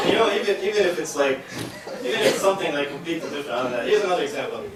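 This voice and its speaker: A man's voice: a little high, animated, rapid-fire. A young man doing stand-up comedy on a university stage, rambling and self-mocking with lots of fillers. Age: young adult. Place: university stage with live audience. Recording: echoey hall, distant mic, loud noise.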